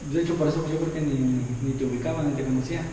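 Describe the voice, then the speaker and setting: A man's voice: fairly high pitch, steady tone, racing, distant mic, over a noisy crowd. Easygoing young man, echoey room. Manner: easygoing, lots of ajá and ujú, sings snatches of songs. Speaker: podcast host. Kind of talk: casual chat. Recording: echoey room, voice a bit off-mic.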